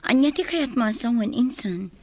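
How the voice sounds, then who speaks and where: An actress playing a concerned, cautioning cousin and confidante in an Ilocano radio drama. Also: female voice, a little high, animated, moderate pace, no room sound.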